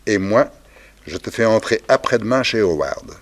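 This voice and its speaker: A deep-voiced male gang boss played by an actor in a vintage radio crime drama. He gives orders deliberately, with cold authority and a sly, ironic menace. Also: animated, close to an everyday voice. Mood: sly, calculating. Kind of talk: 1960s French radio crime serial acting.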